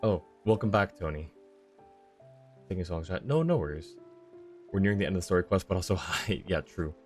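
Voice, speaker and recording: Male game streamer, low-pitched, chatty and casual, faint music underneath. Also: expressive, brisk. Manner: chatty, talking to viewers and himself. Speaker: live streamer. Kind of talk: casual gameplay stream commentary.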